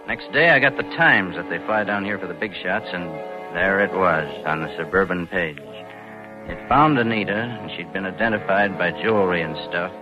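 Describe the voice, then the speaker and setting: A man's voice: low-pitched, expressive, normal speed. An American actor playing a cold, scheming embezzler in his thirties, telling his crime in brooding, slangy 1940s radio noir style. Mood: gloating, then uneasy. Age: 30s. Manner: hard-boiled, slangy, brooding. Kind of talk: 1940s radio noir acting, first-person narration. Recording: muffled old radio broadcast, music behind.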